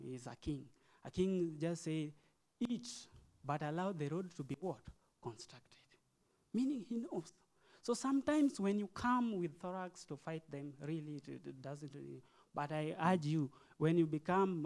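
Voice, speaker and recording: A man with a Kenyan accent giving an impromptu speech at a youth forum, halting and earnest, urging listeners with rhetorical questions. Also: fairly high pitch, darkish tone. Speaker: forum participant.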